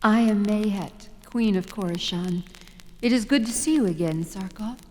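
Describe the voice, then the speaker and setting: A woman's voice: medium pitch, moderate pace, a little hiss or hum, lightly acted. An actress playing a poised, haughty queen with formal, regal delivery in a 1970s audio drama style. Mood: cool greeting. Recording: loud music under the voice.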